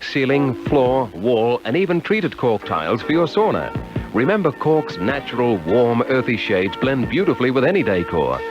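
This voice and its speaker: Animated ad man, muffled radio sound. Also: mid-range voice, moderate pace, music in the background. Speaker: advertising announcer. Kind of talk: scripted radio commercial read. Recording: muffled old radio broadcast tape.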